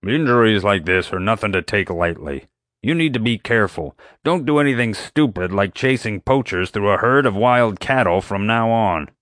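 A man's voice: fairly low pitch, animated, moderate pace, a bit bright, no room sound, clean studio sound, pitched above the performer's own voice. A man voicing a crotchety, prickly male animal-park vet who growls his retorts.

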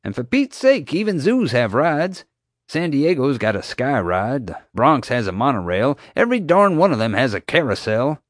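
A man's voice: mid-range voice, big pitch swings, normal speed, no echo, clean studio sound, pitched above the performer's own voice. A man voicing a blustering middle-aged male park owner who talks in a folksy, pushy, defensive way.

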